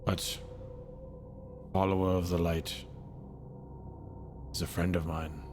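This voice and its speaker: A man playing a grave, reserved cleric in a tabletop game, speaking quietly in short phrases. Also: low voice, flat delivery, takes it slowly, over music. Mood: solemn, quiet. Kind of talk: tabletop roleplay acting.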